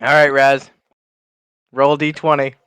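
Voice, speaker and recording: A man's voice: medium pitch, monotone, a little slow, a bit bright, clear, resonant tone, dry recording. A man chatting casually and wryly as a player in a tabletop game. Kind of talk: casual chat.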